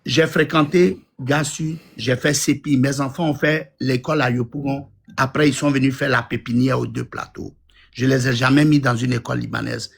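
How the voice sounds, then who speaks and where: Blunt gravelly-voiced man calling in. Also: medium pitch, fairly even intonation, normal speed. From Côte d'Ivoire. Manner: blunt, insistent, rambling. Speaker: caller. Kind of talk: live audio room call-in.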